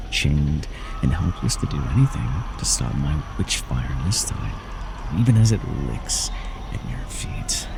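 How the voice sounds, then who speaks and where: A man playing a proud, menacing, persuasive warlord villain in a fantasy audio roleplay, speaking theatrically and sardonically straight to the listener, moving between soft coaxing and forceful declamation. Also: a bass voice, gravelly, full character voice, poor audio. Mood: menacing, silky.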